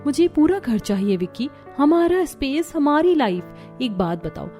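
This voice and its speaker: A woman voicing a haughty, greedy young daughter-in-law in a cartoon drama, sharp and bossy, over music. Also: sits high, big pitch swings, moderate pace. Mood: manipulative. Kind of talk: Hindi cartoon story dub.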